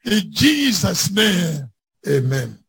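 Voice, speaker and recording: A man preaching a fervent sermon with scripture readings, emphatic and declamatory, often raising his voice to a shout. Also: sits high, big pitch swings, extremely slow, creaky, slightly rough, no room sound.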